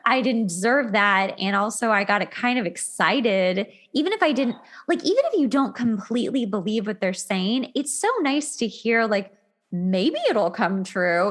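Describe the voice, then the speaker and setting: A woman's voice: mid-range voice, expressive, unhurried, a bit bright. A woman hosting a casual relationship advice talk, emphatic and repetitive, acting out imagined reactions as she tells anecdotes. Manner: emphatic, repeats words, acts out imagined reactions. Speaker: podcast host.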